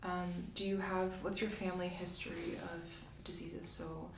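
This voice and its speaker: A soft-spoken woman playing a doctor in an ASMR medical roleplay, gently asking questions and giving step-by-step exam instructions. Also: on the low side, little pitch movement, normal speed. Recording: roomy echo, clear background hiss.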